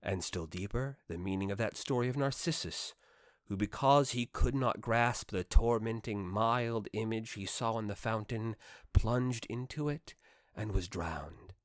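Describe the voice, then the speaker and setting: A man narrating a literary audiobook with expressive, rhetorical delivery and measured phrasing. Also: fairly low pitch, no echo, clean studio sound. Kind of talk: dramatic literary audiobook reading.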